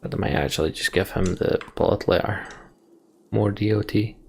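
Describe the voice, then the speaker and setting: A man's voice: fairly low pitch, moderate pace, gravelly, darkish tone, a little hiss or hum. A man giving casual gameplay commentary, muttering to himself and sometimes reading game text aloud. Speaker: let's player.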